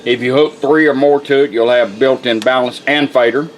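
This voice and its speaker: A man's voice: medium pitch, steady tone, moderate pace, slight background noise. A plainspoken, folksy man giving a casual product demonstration as a radio restorer. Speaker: radio restorer presenting his work.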